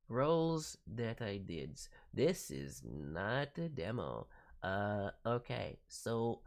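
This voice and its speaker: A man hosting a casual livestream as a voice director, giving feedback in a playful way with cutesy turns of phrase. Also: mid-range voice. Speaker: voice director, streamer host. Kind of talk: livestream critique of demo reels, casual.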